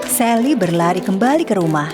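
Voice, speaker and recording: A woman narrating a children's cartoon story in a calm, clear storytelling manner. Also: medium pitch, a little fast. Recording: music behind.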